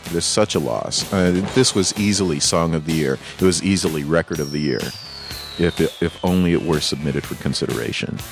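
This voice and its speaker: A man's voice: very deep voice, brisk, creaky. A man hosting a radio music show, chatting casually with his co-host and laughing often.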